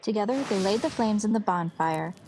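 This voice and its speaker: A woman's voice: medium pitch, animated, a little fast, a little mellow, slight background noise, rough recording. A woman reading scripted fantasy story narration as the narrator of an audio series. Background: festival crowd ambience.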